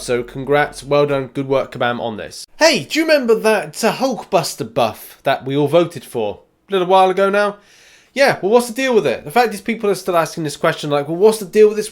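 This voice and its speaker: Guy doing gaming news, voice a bit on the high side, chatty and casual, hits the headlines hard. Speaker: host of a gaming news video.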